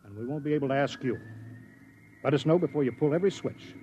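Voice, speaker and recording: A man's voice: mid-range voice, expressive, fast talker, mellow, lightly acted. A man acting in a 1950s radio drama, playing a serious, cautious flight test director who gives earnest instructions. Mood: grave, instructive. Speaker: radio actor. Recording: muffled, noisy old radio broadcast.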